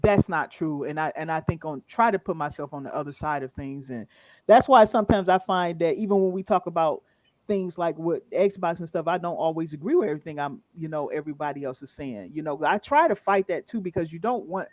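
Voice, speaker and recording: A man's voice: a little high, brisk, no room sound. An American man co-hosting a casual livestream chat, chatty and earnest, greeting viewers in the chat. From United States. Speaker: co-host of a gaming podcast.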